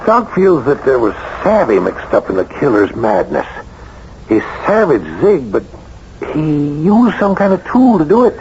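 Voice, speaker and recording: Plain-talking american deputy, old radio show, bouncy delivery, muffled with light hiss. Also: male voice, a little high, huge pitch swings, a little slow, darkish tone, heavily acted. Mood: intrigued, matter-of-fact. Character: brisk, matter-of-fact sheriff's deputy reporting findings. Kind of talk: radio mystery drama acting. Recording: dull, noisy old radio broadcast.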